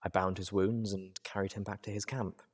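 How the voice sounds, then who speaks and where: A man voicing a poised, cool-headed female pony in a story reading, speaking in a measured and gently reproving way. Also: low-pitched, flat delivery, breathy edge. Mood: composed, measured.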